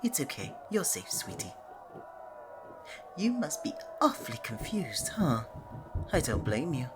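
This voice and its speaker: A woman voicing a flirty, affectionate god of love in a playful, coaxing ASMR romance roleplay, with a breathy delivery. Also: sits low, sing-song, unhurried, noisy background. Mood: soothing. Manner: coaxing, playful, pet names like sweetie and honey.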